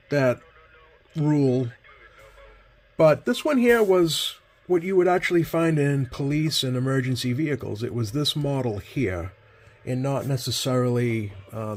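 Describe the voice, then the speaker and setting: A man's voice: mid-range voice, darkish tone. A man giving a casual, hands-on product demonstration as a host, hesitant and rambling, often correcting himself. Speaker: host, CB radio collector. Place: indoors at a workbench.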